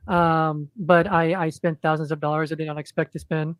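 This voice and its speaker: A man chatting as a guest on a podcast, in a monotone, rambling way with lots of ums and restarts. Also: fairly high pitch, normal speed, clean tone, no echo. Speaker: podcast guest, indie comic creator. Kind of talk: casual podcast chat.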